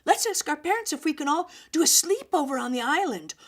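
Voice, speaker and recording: A woman voicing a bold, eager young girl in a children's storybook, loud and excitable. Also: high-pitched, a little fast, voice pushed up. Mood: excited.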